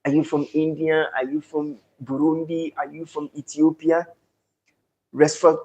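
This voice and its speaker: A man's voice: fairly high pitch, gravelly, a little mellow, rough recording. A man with a Ghanaian accent hosting a casual football live stream, effusively thanking viewers and declaiming sports talk.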